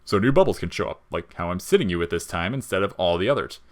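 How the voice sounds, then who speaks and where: A man's voice: deep voice, animated, fast. Guy doing a wry skeleton monster for an audio story, slangy and jaded, kinda jolly but bitter underneath. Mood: casual, explaining. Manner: slangy, sardonic, explaining things casually.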